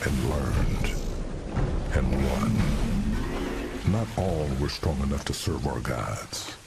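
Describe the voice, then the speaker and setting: An actor playing a weary, guilt-haunted alien commander, a veteran of holy war, narrating in a solemn, brooding, deliberate way with a gravelly voice. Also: male voice, extremely low pitch, lively intonation, exaggerated voice. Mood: solemn, reflective. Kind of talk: solemn first-person dramatic narration. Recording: loud music behind the voice. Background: loud cinematic music.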